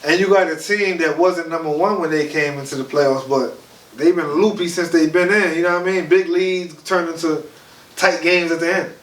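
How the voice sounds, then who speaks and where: A man's voice: a little high, fairly even intonation, normal speed, a bit bright. Stuffed-up man chatting on a podcast. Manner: laid-back, chatty, frequent "you feel what I'm saying". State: stuffed-up nose. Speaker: podcast co-host. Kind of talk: casual podcast chat. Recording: echoey room, mic at a distance, faint noise.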